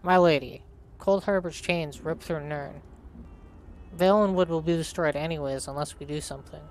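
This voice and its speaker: A man's voice: on the high side, steady tone, normal speed, slight background noise. A man hosting a let's play, reading his character's dialogue aloud and narrating as he plays.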